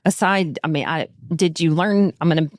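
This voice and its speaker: A woman's voice: deep voice, moderate pace, dry recording, studio quality. A woman co-hosting a podcast, asking questions casually and rephrasing as she goes. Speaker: podcast co-host. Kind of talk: casual interview chat.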